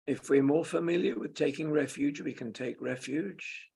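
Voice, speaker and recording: A man with a gravelly, husky voice guides a meditation as a teacher, in gentle, unhurried, halting short phrases. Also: fairly high pitch. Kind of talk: slow guided meditation with long pauses.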